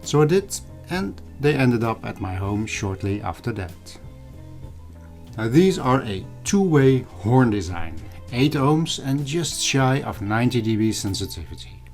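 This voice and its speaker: A middle-aged man hosts a scripted vlog with a medium-pitched voice. He explains things in a measured way and talks straight to the viewer, with clearly audible guitar music playing behind him. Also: big pitch swings, a little mellow. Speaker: video channel host. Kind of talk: scripted vlog narration over old photos and footage.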